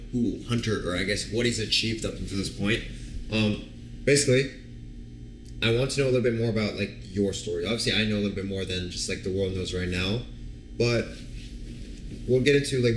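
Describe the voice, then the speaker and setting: Low-voiced casual male interviewer. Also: brisk, a little hiss or hum. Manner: casual, filler-heavy, friendly prodding questions. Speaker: host, business coach. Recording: roomy echo.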